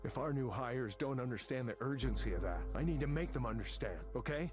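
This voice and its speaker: A man acts out a middle-aged tech company veteran in a video game, arrogant and bitter. He rants in a combative, sarcastic, profane way at a medium pitch. Music plays clearly behind him. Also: expressive, a little fast, close to an everyday voice. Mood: fired up. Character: angry veteran male tech manager, arrogant, bitter, ranting. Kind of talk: visual novel game voice acting.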